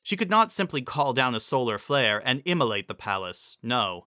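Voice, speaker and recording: A man's voice: mid-range voice, very animated, normal speed, sharp tone, smooth, no room sound, studio quality. A man narrating fantasy fiction as a dramatic reading.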